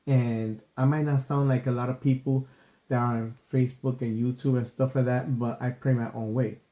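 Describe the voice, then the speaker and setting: A man's voice: mid-range voice, flat delivery, moderate pace, warm tone, echoey room. A man co-hosting a podcast in casual, earnest chat. He rambles, circles back and fills with "you know" and "stuff like that.". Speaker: podcast co-host. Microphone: desk microphone.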